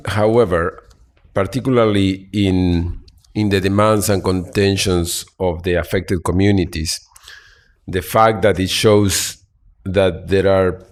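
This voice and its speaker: Low-voiced halting man on a panel. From Argentina. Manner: halting, many fillers and restarts. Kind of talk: panel talk. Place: conference hall.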